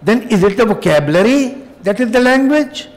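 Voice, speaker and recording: An older man whose first language is Urdu gives a reflective, emphatic public speech at a festival, pausing often and adding wry humor. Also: very high-pitched, expressive. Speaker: festival speaker. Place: hotel hall. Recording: long hall reverb.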